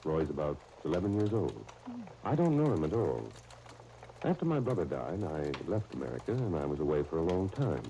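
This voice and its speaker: An actor playing a mature, thoughtful gentleman in a 1930s radio soap opera, measured and reflective. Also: extremely low pitch, big pitch swings, heavily acted. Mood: concerned. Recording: noisy 1939 radio disc recording.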